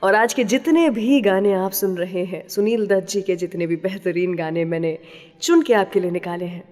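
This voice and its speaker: A woman's voice: medium pitch, a little mellow. A woman hosting a radio show, telling scripted stories and talking directly to the listener in a conversational way. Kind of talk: scripted radio storytelling and song introductions. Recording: long reverberant tail on voice.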